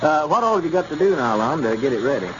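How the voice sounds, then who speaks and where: A man's voice: fairly high pitch, big pitch swings, fast. An actor playing a friendly, helpful small-town man in a 1930s radio serial. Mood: friendly, easygoing. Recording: muffled, noisy 1930s radio recording.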